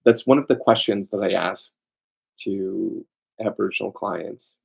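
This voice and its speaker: A man's voice: low voice, a little slow, creaky, husky, poor audio. A man giving an informal lecture as a lawyer, emphatic and digressive, often throwing rhetorical questions at his listeners. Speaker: lawyer presenting a seminar.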